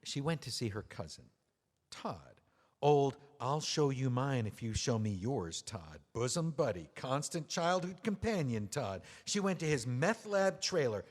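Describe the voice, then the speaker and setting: A man's voice: medium pitch, big pitch swings, measured pace. A man playing a bitter, sarcastic widower. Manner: sarcastic, seething, mocking. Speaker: widower writing a memoir. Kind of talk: audio drama acting. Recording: long hall reverb.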